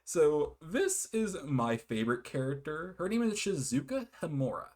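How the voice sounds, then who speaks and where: A man's voice: on the high side, sing-song, moderate pace, darkish tone. Casual, rambling American man reviewing games. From United States. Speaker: video game reviewer. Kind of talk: casual rambling review, partly reading game blurbs.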